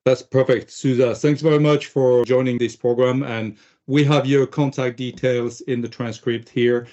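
A man with a warm, dark-toned mid voice hosts an interview podcast, keeping his prompts short. The recording has a dull, lo-fi edge. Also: mid-range voice, fairly even intonation, moderate pace. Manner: brief, friendly prompts to the guest. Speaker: podcast host. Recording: dull lo-fi audio.

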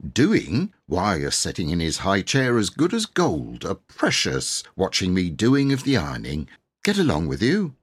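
A man's voice: deep voice, huge pitch swings, measured pace, husky, exaggerated voice. A man voicing a cross, busy Edwardian nursemaid in an audiobook, scolding in ungrammatical servant speech. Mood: cross, brisk.

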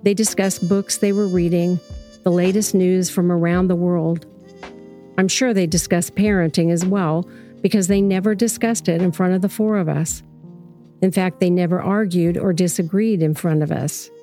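Low, dark-voiced woman narrator. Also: fairly even intonation, moderate pace, faint music behind. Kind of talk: scripted first-person storytelling narration.

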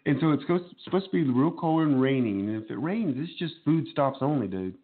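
A man's voice: a little high, lively intonation, brisk. A man hosting a casual radio chat, nasal from a cold, joking and laughing often. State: getting over a cold, nasal. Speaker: host. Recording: phone-like narrow band.

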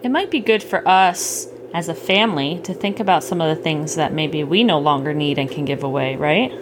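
A middle-aged woman chatting casually with a child at bedtime, gently explaining things and checking in with questions. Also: low-pitched, normal speed. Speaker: parent hosting a bedtime story podcast. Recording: clearly noisy home recording.

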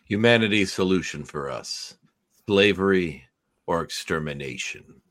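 Grave radio-play villain, older father and leader, male actor, extremely deep voice, measured and commanding. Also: expressive, a bit bright. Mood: cold, commanding. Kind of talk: radio theatre live read.

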